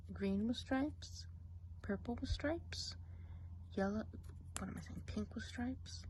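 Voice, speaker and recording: A woman playing a gossipy witch of the woods who dips in and out of whispers. She keeps her voice soft and close, as in an ASMR role play, and she draws her instructions out slowly and carefully. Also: medium pitch, a little hiss or hum, low-quality recording.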